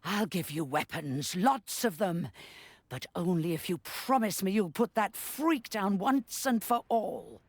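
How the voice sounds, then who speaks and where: A woman's voice: mid-range voice, animated, unhurried, dry recording. A woman playing a bitter, sardonic, foul-mouthed old granny who scolds bluntly, as acted in a video game cutscene. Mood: bitter, commanding. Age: older.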